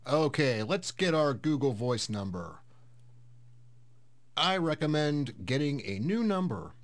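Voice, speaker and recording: Man, medium pitch, slow pace, casual tech tutorial host, step-by-step explaining. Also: sing-song. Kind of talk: casual screen-recorded software tutorial.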